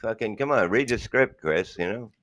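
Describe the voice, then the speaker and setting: Low voiced guy hosting a podcast, crude jokey banter. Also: moderate pace, a bit bright. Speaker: podcast host. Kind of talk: casual podcast chat.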